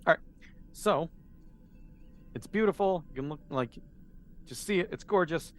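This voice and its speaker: A man's voice: a little high, animated, normal speed. A man game mastering a tabletop role-playing game, explaining and narrating in a casual, informal way.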